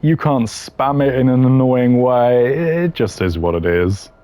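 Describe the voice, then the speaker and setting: A man's voice: mid-range voice, a little slow, dark tone, clean tone, dry recording. A man giving casual, opinionated gaming commentary in a blunt, dismissive way with dry humour. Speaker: gaming content creator ranking voice lines.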